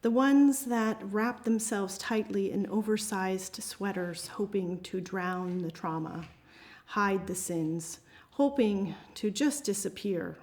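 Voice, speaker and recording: A woman's voice: mid-range voice, takes it slowly, darkish tone. Woman, amateur writer at a reading. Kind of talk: reading own short story aloud to a group.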